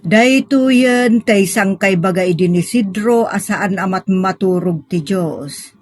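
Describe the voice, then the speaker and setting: A woman playing a pious, motherly older woman who gives measured moral counsel in an Ilocano melodrama. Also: medium pitch, darkish tone, faint music behind. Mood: grave, admonishing. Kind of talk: Ilocano radio-style melodrama.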